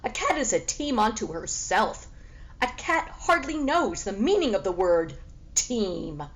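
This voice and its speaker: A woman's voice: fairly high pitch, very animated, a little slow. Woman putting on a haughty, sassy storybook cat. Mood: boastful. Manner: sassy, grandly refers to herself in third person. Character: haughty, boastful, sarcastic orange female dock cat.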